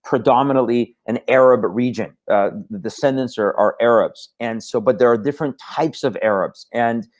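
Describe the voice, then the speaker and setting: An Iran-born man speaks as a talk show guest, his voice sitting at an ordinary middle pitch. He talks earnestly and steadily builds his points, though he often trips into stammered repeats and restarts. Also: moderate pace, hardly any pauses. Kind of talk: casual talk show conversation.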